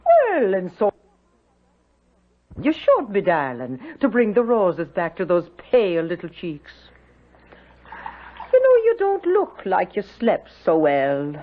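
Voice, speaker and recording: A woman's voice: medium pitch, huge pitch swings, takes it slowly, pauses often, heavily acted. Lilting Irish housekeeper woman, swooping and chatty. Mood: fussing, affectionate. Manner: folksy, voluble, lilting storytelling. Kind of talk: 1970s radio drama acting. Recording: dull lo-fi radio recording.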